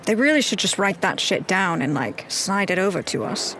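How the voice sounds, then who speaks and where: A woman's voice: medium pitch, very animated, moderate pace, fairly bright tone, slight background noise. A woman playing a practical adventurer in a tabletop game, with a dry, teasing manner.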